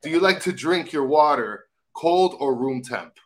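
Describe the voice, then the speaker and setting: A man's voice: a little high, lively intonation, measured pace. A man co-hosting a casual fan podcast, laid-back and playful. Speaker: podcast co-host.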